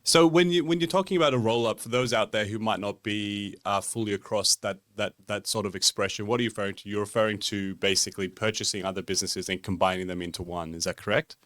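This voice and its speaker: Podcast host: man, fairly low voice, dry close recording, conversational interviewing. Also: fairly even intonation, fast, hardly any pauses, fairly bright tone, dry recording.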